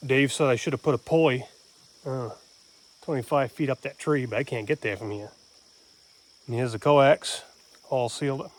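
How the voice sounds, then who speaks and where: A man's voice: mid-range voice, moderate pace, long pauses. A man chatting casually and explaining a hands-on job as he works, with dry, teasing banter. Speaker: amateur radio hobbyist hosting a homestead vlog. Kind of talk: casual chat and narrated how-to while working. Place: outdoors at a rural camp in woods.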